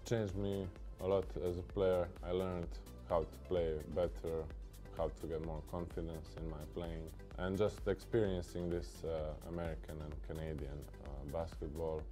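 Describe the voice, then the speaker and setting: A young basketball player speaking hesitantly and thoughtfully in an interview. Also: male voice, deep voice, little pitch movement, moderate pace, hardly any pauses, warm tone, faint music behind.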